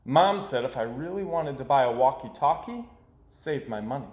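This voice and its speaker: A man voicing a dramatic, hard-done-by young boy in an expressive storybook read-aloud, theatrical and emphatic. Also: mid-range voice, moderate pace, reverberant, close to an everyday voice. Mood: earnest, wistful. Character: young boy, dramatic, aggrieved, forever losing his money.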